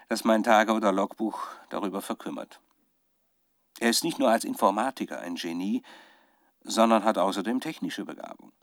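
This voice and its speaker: A man's voice: fairly low pitch, no room sound, clean studio sound, close to an everyday voice. An actor playing a male scientist who keeps a troubled, fervent spoken research diary in a radio drama, often searching for words. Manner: halting, searching for words, dramatic shifts from musing to fervour. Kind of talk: radio drama monologue as dictated audio diary.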